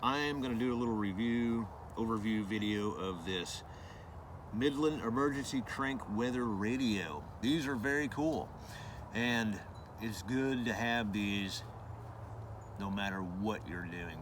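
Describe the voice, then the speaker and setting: A man's voice: lowish voice, unhurried, background noise. A man giving a casual hands-on product review, explaining things informally and loosely with some repetition.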